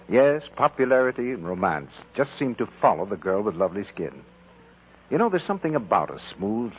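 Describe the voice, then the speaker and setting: Male announcer, deep, very varied intonation, 1940s radio sales style, dull faintly noisy recording.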